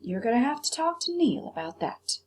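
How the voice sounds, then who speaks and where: A woman's voice: high voice, big pitch swings, a little fast, voice pushed up. A woman voicing a folksy, familiar storybook diner waitress. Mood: questioning.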